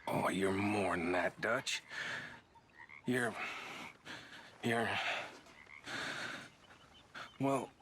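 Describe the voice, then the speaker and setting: Slow, low-voiced fawning outlaw. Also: male voice, a bit bright, a little hiss or hum. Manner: halting, ingratiating. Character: fawning, teasing American outlaw follower, grown man.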